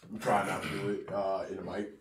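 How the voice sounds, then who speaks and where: A man's voice: deep voice, flat delivery, a little fast, roomy sound, distant mic. A man from North New Jersey hosting a casual podcast chat, slangy and rambling, a bit congested and sniffling from allergies. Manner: laid-back, slangy, rambling with fillers. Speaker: podcast host.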